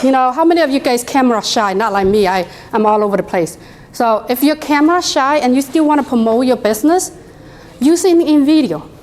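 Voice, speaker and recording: Chatty high-voiced woman with an accent. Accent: non-native English accent. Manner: chatty, animated, laughs often, talks to the audience directly. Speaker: workshop presenter. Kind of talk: live software demo to a room.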